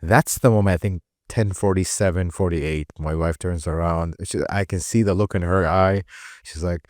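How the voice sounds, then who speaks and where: A middle-aged mechanic from Iraq, talking earnestly in a casual conversation, often repeating and restarting words. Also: male voice, deep voice, steady tone, moderate pace, dry recording, studio quality. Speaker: mechanic and shop owner.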